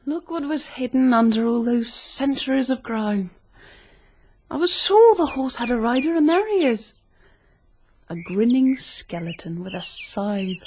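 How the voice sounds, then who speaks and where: Spirited British sweetheart for radio mystery: young, teasing, arch, fairly high voice. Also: female voice, expressive, unhurried, darkish tone. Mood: intrigued. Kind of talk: British radio drama acting.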